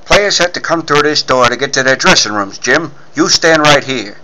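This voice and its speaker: A man's voice: fairly high pitch, animated, normal speed, natural voice, poor audio. A man playing a bossy, skeptical newspaper chief, emphatic and blustering, in 1940s radio serial style. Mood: impatient, dismissive.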